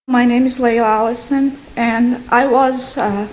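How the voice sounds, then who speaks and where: A young woman from Bosnia slowly and earnestly telling her life story as a testimony. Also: fairly high pitch, fairly even intonation, a little mellow, low-quality recording. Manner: slow, earnest, with long pauses. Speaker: guest giving a testimony. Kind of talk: slow personal testimony.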